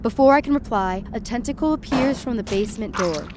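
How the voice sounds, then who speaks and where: A woman's voice: fairly high pitch, expressive, a little fast, heavy background noise, lightly acted. A woman playing a frightened, tripping young woman who narrates a supernatural night in the first person, tense and dramatic, in an audio horror drama. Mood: tense, disgusted.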